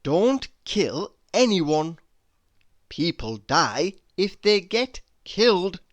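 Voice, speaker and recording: A man reading humorous quotes aloud in a deliberate, mock-inspirational manner. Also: sits high, big pitch swings, highs cut off. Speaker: reader.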